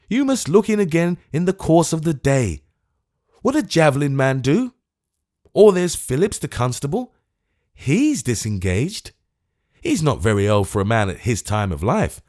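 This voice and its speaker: Man doing a testy fussy lord mayor, self-important, fretting, lively high voice, audiobook. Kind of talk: audiobook character voice.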